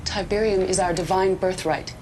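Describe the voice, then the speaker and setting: A woman plays a militant faction leader in a video game. Her lowish voice is stern, grave and resolute, shaped like a speech to followers. Clear noise runs behind it. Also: fairly even intonation, normal speed, lightly acted. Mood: defiant, resolute. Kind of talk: propaganda address, game cutscene acting.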